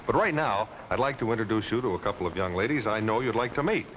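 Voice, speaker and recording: A man's voice: on the low side, lively intonation, quick pace, a bit bright. A man delivering a folksy, chatty 1950s television car commercial as its announcer. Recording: noisy old 1950s television soundtrack.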